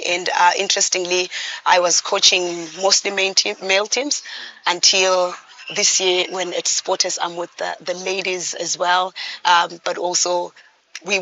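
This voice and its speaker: Bright, flat-voiced woman coach. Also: lowish voice, muffled recording. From Zimbabwe. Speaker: volleyball coach, guest. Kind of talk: interview answers.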